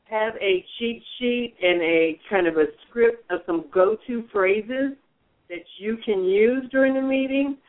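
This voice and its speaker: A man's voice: high voice, a little slow. A man hosting a radio advice show, coaching in a strong, projecting voice with frequent restarts. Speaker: radio show host, mentor. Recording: phone-like narrow band.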